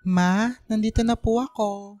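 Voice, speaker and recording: Woman playing a mellow, meek Filipino young son. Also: medium pitch, steady tone, a little slow, faint music behind, close to an everyday voice. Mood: dutiful. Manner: polite, meek, hesitant. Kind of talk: radio drama acting.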